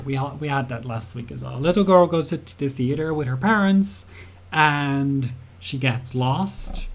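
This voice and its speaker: Slow, giggly young game developer. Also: male voice, mid-range voice. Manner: chatty, giggly, thinks aloud with many fillers. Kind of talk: casual livestream tutorial answering chat.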